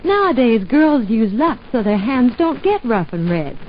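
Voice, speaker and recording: A woman's voice: a little high, big pitch swings, unhurried, a little hiss or hum. A woman playing a cheerful young housewife in a 1940s radio soap commercial, chatty and bouncy, on a muffled old broadcast.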